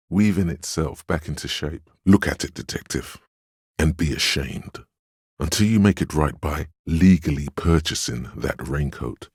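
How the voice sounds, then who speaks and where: An actor voicing a stern, steadfast inner voice of willpower in a video game, measured and dryly sardonic as he admonishes. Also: rumbling low, a little mellow, husky, no room sound, studio quality, full character voice. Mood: admonishing. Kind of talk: scripted second-person game narration, inner voice.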